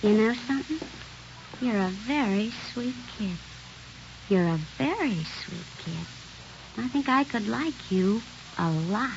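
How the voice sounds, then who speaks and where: A pushy, teasing barroom floozy in an old radio drama. Her voice is high and she coaxes in brassy slang. The recording is dull and aged. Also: expressive, measured pace, creaky, long pauses, noisy background. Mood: flirtatious. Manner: coaxing, slangy. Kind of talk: 1940s radio drama acting.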